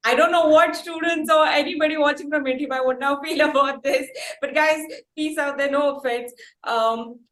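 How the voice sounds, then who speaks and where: High-voiced Indian woman, echoey room. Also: steady tone, moderate pace, hardly any pauses, fairly bright tone. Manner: rambling, talkative, wordy questions. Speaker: podcast host interviewing a band. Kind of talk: casual podcast interview. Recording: roomy, echoey sound.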